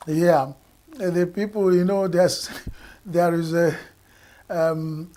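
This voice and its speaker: Nigerian male commentator, slightly high voice, halting and deliberate, Igbo speaker. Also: steady tone, dark tone. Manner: measured, halting, many fillers and restarts. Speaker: political commentator. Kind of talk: political commentary.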